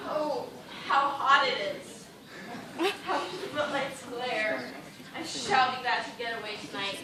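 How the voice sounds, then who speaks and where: A high, slow voice of a proud female dancer acted by a woman, parched and desperate. She implores melodramatically in a stage drama. The sound is very echoey and distant. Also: background noise. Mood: delirious, dreamy. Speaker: stage actor. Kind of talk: theatrical stage acting. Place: indoor theatre stage, recorded from afar.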